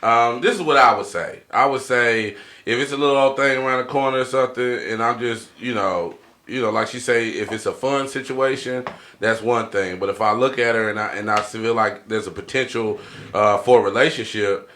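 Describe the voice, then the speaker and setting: A man's voice: medium pitch, fairly quick, sharp tone, slight background noise. A man co-hosting a podcast in casual panel chat, rambling along and often checking that listeners follow him. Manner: rambling, frequent tag questions like "you know what I'm saying". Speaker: podcast co-host.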